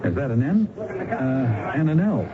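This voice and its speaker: An American actor playing a folksy, eager, slightly hesitant police sidekick in an old-time radio drama. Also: male voice, medium pitch, sing-song, measured pace, a loud crowd. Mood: curious, eager. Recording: tinny, very noisy old radio recording.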